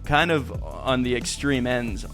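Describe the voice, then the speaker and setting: A man's voice: medium pitch, unhurried, fairly bright tone, faint music behind. A man asking casual, conversational questions as the host of a podcast interview.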